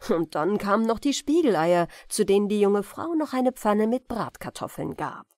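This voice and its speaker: A woman narrating a bedtime fairy-tale audiobook in an expressive storytelling manner. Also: mid-range voice, no room sound, studio quality.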